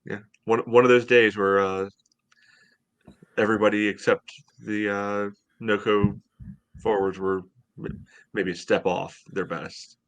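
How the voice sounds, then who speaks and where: An American man in his thirties hosting a casual sports podcast over a video call, speaking haltingly with lots of "you know". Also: fairly low pitch, level tone. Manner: hesitant, halting delivery, heavy use of "you know". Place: home room, indoors, on a video call. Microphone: headset on video call.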